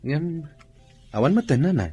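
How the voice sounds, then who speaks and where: A man's voice: fairly high pitch, big pitch swings, normal speed, dark tone, breathy edge, soft background music, exaggerated voice. A male actor with an Ilocano accent playing a polite young suitor in a radio drama. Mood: polite, concerned.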